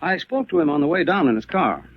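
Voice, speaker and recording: A man's voice: fairly high pitch, animated, fast talker, breathy edge, dry recording. An actor playing a businessman urgently reasoning with and reassuring his frightened partner in a 1940s radio mystery. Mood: concerned. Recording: muffled, noisy 1940s radio recording.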